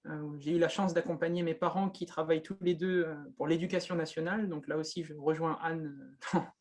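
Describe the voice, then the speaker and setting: A man's voice: on the high side, flat delivery, fairly quick, darkish tone. Hesitant young man with many fillers. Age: 20s. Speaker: candidate. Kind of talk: unscripted self-introduction on a video call. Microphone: video call.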